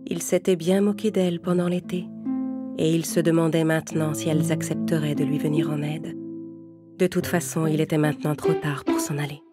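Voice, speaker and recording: A woman's voice: deep voice, flat delivery, fairly quick. A woman narrating a scripted children's fable in French storybook style. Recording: loud music behind the voice. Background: music.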